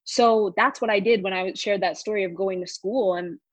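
A woman's voice: mid-range voice, steady tone, normal speed, no room sound. A woman speaking as a coach in a podcast interview, motivational and emphatic, repeating words for stress. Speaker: coach and course teacher.

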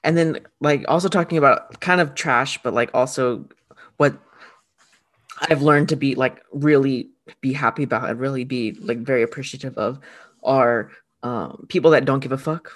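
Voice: mid-range voice, normal speed. An easygoing podcast co-host who chats casually, agreeing often and rambling with fillers. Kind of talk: casual chat.

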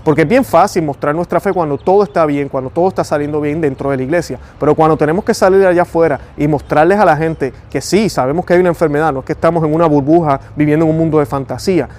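Fast-talking Puerto Rican man. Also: on the high side, darkish tone. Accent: Puerto Rican Spanish. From Puerto Rico. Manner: fast-talking, earnest, prayerful. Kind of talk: devotional talk and prayer.

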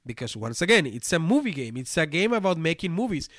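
A man with a Spanish accent hosts a podcast and talks through a board game review, his first language being Spanish. His voice sits a bit higher than most men's, and he rambles, restarting phrases and filling pauses. The sound is dry and close, with no room around it. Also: sing-song, a bit bright, dry recording. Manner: rambling, many restarts and fillers. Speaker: podcast host.

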